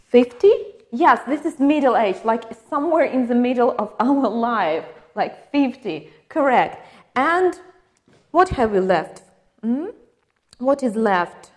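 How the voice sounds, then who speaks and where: A woman's voice: fairly high pitch, lively intonation, slow. A woman with a Ukrainian accent teaching an English lesson, patiently asking questions and confirming answers. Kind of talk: online English lesson for exam prep.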